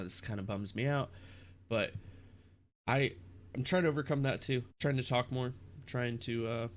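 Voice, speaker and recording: A young man with a congested, stuffed-up nose, rambling in a halting casual vlog about his streaming. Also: fairly low pitch, slight background noise. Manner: rambling, halting with many restarts and fillers. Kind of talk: casual vlog talk to camera.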